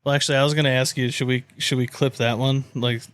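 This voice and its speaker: A man's voice: medium pitch, flat delivery, quick pace, a bit bright, clean tone. A man co-hosting a sports podcast, chatting casually and teasing the others. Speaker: podcast co-host.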